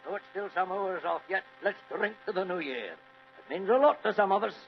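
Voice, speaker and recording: A hearty old Scottish grandfather of the landed gentry, pitched high and swinging widely in tone. He exclaims his way through an old radio play on a dull vintage recording. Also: lively intonation, normal speed, gravelly, faint music behind. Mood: jovial, hearty. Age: older. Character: jovial old Scottish baronet, hearty grandfather, excitable host. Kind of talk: old-time radio drama acting.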